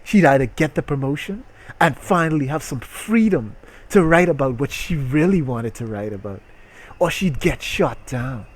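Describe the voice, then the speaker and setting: A man's voice: mid-range voice, lively intonation, normal speed. A man doing a comedic reading aloud, breaking into casual, profane, exasperated asides. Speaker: streamer reading a story aloud while playing a game. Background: music.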